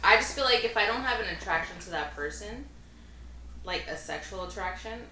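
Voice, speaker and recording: Lively young woman guest, distant. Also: mid-range voice, brisk. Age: 20s. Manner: playful and expressive. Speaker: podcast guest. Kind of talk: casual chat. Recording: echoey room, distant mic, noise.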